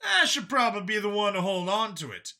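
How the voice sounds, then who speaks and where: A man puts on a cocky medic and hunter for an audiobook. The voice rides high and swings widely, with a sharp, forceful edge. He is combative and folksy, prone to exclaiming. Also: very animated, fairly quick, exaggerated voice. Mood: insistent. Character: brash, cocky older human medic and hunter, male. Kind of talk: acted audiobook dialogue.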